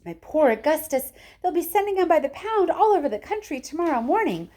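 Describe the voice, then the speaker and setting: A woman voicing a hysterical, indignant mother in a children's story, with shrill, bossy exclamations. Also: big pitch swings, a little fast, warm tone. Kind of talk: children's storybook read aloud.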